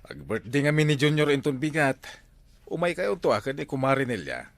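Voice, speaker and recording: A man's voice: medium pitch, very animated, brisk, gravelly, no echo. A man with an Ilocano accent playing a friendly neighbor in a comedy drama.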